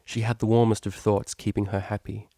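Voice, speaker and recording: A man's voice: on the low side, flat delivery, brisk, mellow, dry recording. A man reading fan fiction aloud as scripted story narration.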